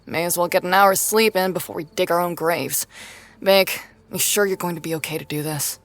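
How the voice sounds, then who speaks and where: A woman's voice: on the low side, normal speed, lightly acted. An actress playing a sardonic, protective woman fighter in an audio drama, blunt and sarcastic. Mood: grudging, resigned.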